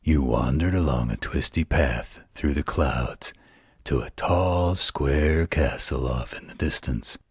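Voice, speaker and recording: A man playing a gentle, patient werewolf who tells a bedtime story in a soothing, tender manner. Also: very deep voice, slow, breathy edge, no echo, clean studio sound, exaggerated voice. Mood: soothing storytelling. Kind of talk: intimate bedtime roleplay storytelling.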